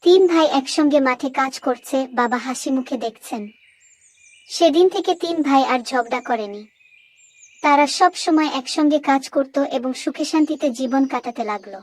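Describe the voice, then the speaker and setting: A woman narrating a moral story for children in a clear, didactic storytelling manner. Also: sits high, darkish tone, no room sound.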